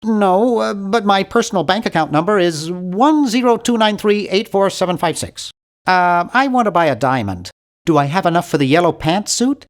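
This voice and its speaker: Casting: nerdy young game hero, nasal, very animated intonation, timid, polite, hesitant, earnestly bookish. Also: male voice, high-pitched, moderate pace. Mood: earnest, fussy. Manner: awkward, hesitant, earnest and fussy. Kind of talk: video game character voice lines.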